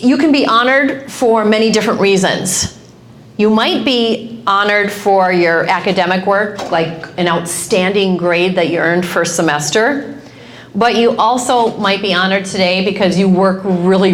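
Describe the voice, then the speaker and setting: Upbeat middle-aged woman addressing a crowd. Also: medium pitch. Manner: upbeat, direct, speaks up to a crowd. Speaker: high school principal. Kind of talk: addressing a student assembly. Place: large school cafeteria with students.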